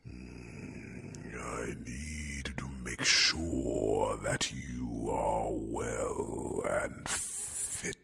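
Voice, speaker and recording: A man voicing an ancient, tender, protective dragon in a slow ASMR fantasy roleplay, with a breathy growl, halting old-fashioned phrasing and drawn-out hums. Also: steady tone, over music, exaggerated voice. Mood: gently chiding. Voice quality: deep breathy gravelly growl.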